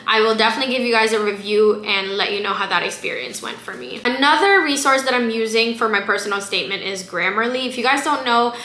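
A woman's voice: fairly high pitch, fast talker, hardly any pauses, pushed and bright. A woman in her twenties with an American accent, a non-native English speaker, chatting casually and emphatically to camera as a slangy vlogger. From South Florida, immigrant from the Philippines. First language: a language other than English. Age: 20s. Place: small bare room indoors. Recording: echoey room.

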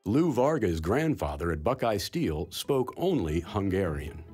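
A man narrating a local history documentary in a measured, scripted voice. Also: deep voice, sing-song. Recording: studio voice-over over music.

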